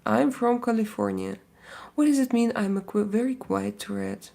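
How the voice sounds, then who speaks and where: A woman from Russia speaking English with a Russian accent, hosting a casual live stream and chatting with viewers, hesitant and full of pauses and fillers. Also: fairly high pitch, gravelly, mellow. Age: adult with young kids. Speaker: livestream host.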